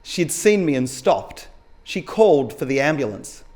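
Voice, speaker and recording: A man's voice: fairly high pitch, big pitch swings, a little slow, a little mellow. A man reading the part of an injured, vengeful cyclist in a story, emphatic and colloquial. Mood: recounting, shaken.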